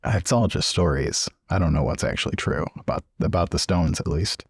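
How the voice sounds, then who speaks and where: Very deep-voiced man telling improvised tales. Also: normal speed, slightly rough, no room sound, clean studio sound. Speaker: tabletop role-player. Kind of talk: improvised in-character storytelling, conversational.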